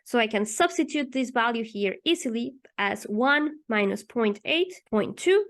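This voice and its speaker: A woman's voice: a little high, animated, measured pace. A woman teaching, methodically explaining a math problem step by step as she writes it out, with rhetorical questions along the way. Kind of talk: explaining a math problem while writing on paper.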